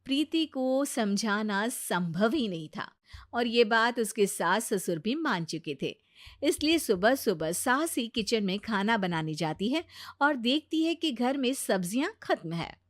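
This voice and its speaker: A woman narrating a moral story in an expressive, scripted storytelling style. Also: fairly high pitch, unhurried, dry recording, clean studio sound.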